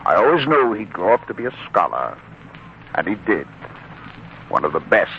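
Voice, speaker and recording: An actor playing a proud, loyal, warm-hearted blacksmith father in a theatrical 1940s radio drama style. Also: sits low, very animated, moderate pace. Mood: proud, firm. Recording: muffled, noisy 1940s radio recording.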